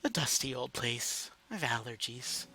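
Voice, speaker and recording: A man's voice: medium pitch, animated, a little slow, vocal fry, sharp tone, slightly rough, soft background music, full character voice, low-quality recording. A man voicing a silky, flirtatious and formal female mayor in a read-aloud story. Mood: airy. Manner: genteel, formal, drawling.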